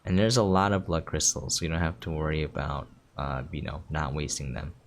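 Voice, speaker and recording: A man's voice: very deep voice, steady tone, a little fast. A man giving casual commentary as he hosts a gameplay preview. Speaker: game preview host. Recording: music behind the voice. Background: game music.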